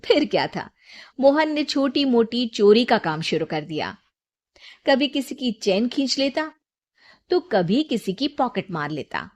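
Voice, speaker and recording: A woman's voice: fairly high pitch, very animated, unhurried, a little mellow. A woman storyteller reading a scripted moral tale as narration.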